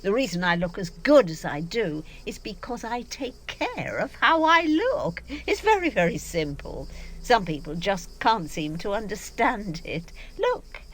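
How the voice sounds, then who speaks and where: A woman's voice: medium pitch, big pitch swings, measured pace, a bit bright. A middle-aged woman playing a vain, domineering actress in an audio drama, with a grand, emphatic, self-satisfied manner. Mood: vain, insistent.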